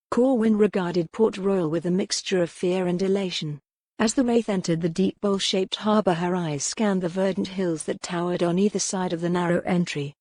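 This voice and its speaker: A woman narrating a historical romance audiobook in a measured, careful storytelling manner. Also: lowish voice, darkish tone, no echo.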